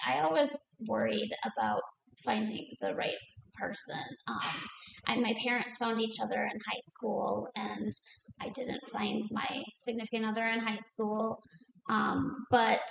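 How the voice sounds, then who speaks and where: A young woman with a heavily creaky, gravelly voice pitched a little high speaks hesitantly, full of ums and restarts. She is on a panel in a library room, and her voice echoes around it. Faint noise sits beneath her. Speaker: memoir author on a panel. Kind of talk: casual panel answer.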